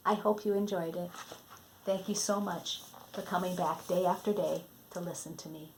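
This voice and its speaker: A woman reading a Christmas storybook aloud in an expressive, dramatic way, letting phrase endings fall hushed. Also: lowish voice, moderate pace, slight background noise, poor audio. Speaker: storybook reader.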